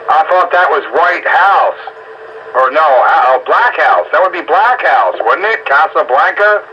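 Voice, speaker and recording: A man's voice: on the high side, lively intonation, unhurried. A man bantering casually over two-way radio. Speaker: amateur radio operator. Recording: muffled, noisy two-way radio transmission.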